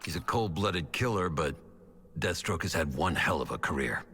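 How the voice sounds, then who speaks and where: Gruff army vet boss in a game, really low voice, blunt, faint noise. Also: male voice, animated, normal speed, pushed and bright. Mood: gruff respect. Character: gruff military veteran squad leader. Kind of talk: video game character dialogue.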